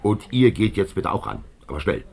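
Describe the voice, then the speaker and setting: An actor playing a gruff, commanding police chief with a gravelly voice and a terse, authoritative manner, in 1950s radio drama style. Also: male voice, sits low, very animated, fairly quick, darkish tone, exaggerated voice. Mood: impatient, commanding. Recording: faintly noisy old radio recording.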